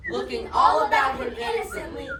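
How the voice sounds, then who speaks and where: A young female narrator performing a storybook scene on stage, expressive and emphatic in her delivery. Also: high-pitched, moderate pace. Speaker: student reader's theatre narrator. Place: competition performance room indoors. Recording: echoey room, distant mic, audience noise.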